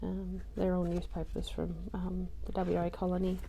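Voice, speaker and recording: A woman's voice: lowish voice, completely flat delivery, moderate pace, creaky, warm tone, slight background noise. A woman casually talking through a craft project at home, rambling with lots of ums and little self-deprecating asides. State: voice just recovered from loss. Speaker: craft journaling vlogger.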